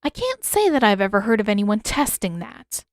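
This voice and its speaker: Woman voicing a firm, measured older mother. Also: medium pitch, very animated, no room sound, studio quality, close to an everyday voice. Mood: dry, assured. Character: older mother, village gossip, matter-of-fact, shrewd, unyielding.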